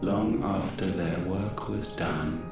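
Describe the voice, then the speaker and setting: Deep, echoing, imperious ancient god. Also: male voice, steady tone, slow. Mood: solemn, imperious. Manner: archaic, stately, pronouncing speech. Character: cold ancient godlike male being, solemn and imperious. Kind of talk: scripted game cutscene acting. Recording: cavernous echo, distant, organ music behind.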